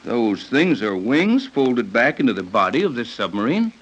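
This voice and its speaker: Adventurer captain played by a man: inquisitive, polite, animated mid-range voice, dull vintage radio serial sound. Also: mid-range voice, moderate pace, natural voice. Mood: curious. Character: curious, level-headed adventurer captain, adult male. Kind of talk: 1930s radio serial acting. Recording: dull, noisy 1930s radio recording.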